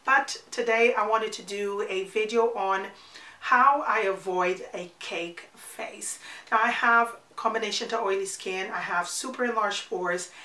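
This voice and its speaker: A woman's voice: mid-range voice, measured pace, bright, forceful tone, echoey room. A woman in her forties with a congested, nasal voice from a cold, chatting her way through a makeup tutorial and repeating words for emphasis. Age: 40s. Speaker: beauty vlogger.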